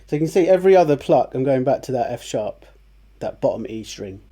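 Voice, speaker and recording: Relaxed man teaching guitar over music. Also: brisk. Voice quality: lower voice, by his own account. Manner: relaxed and chatty, with fillers and restarts. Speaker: guitar teacher, channel host. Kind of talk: casual guitar tutorial.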